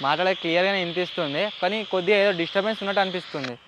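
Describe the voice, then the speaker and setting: A man's voice: high voice, rapid-fire, muffled recording, background noise. A man with a Telangana Telugu accent presenting a gadget test as a vlog host, mixing in English words. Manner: mixes English words into speech. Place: outdoors in open area with trees.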